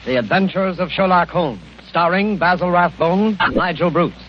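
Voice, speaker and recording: A man's voice: high voice, moderate pace, music in the background. A man hosting in polished, emphatic 1940s radio announcer style, courteous and scripted. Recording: muffled, hissy 1940s radio recording.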